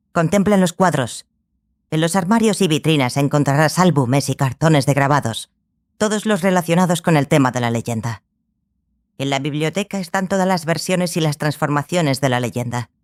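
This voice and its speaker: A woman playing a stern, obsessive scholarly sorceress in a dramatized audiobook, speaking gravely and deliberately, with pauses. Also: deep voice, expressive, a little mellow. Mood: instructive.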